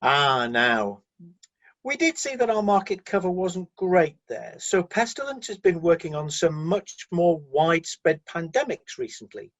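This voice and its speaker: A husky, defensive celestial middle manager played by an actor in a satirical radio play. The voice moves in lively swings as it breezily justifies the staff in corporate terms, mildly flustered, on a slightly dull recording. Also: medium pitch, unhurried, full character voice. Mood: breezily justifying.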